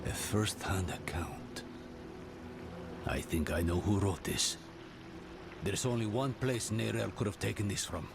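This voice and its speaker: Deep, creaky, wary elder mystic. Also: male voice, noisy background. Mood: grave. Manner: deliberate, weighty, dry. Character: wary, sardonic elder spirit-priest guide. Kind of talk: video game cutscene acting.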